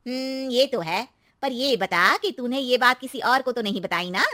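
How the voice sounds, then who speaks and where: A woman voicing a superstitious older neighbourhood auntie who gives slow, emphatic, knowing warnings in a storybook style. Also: a little high, bright, forceful tone, dry recording, clean studio sound, voice pushed up. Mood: nosy, warm.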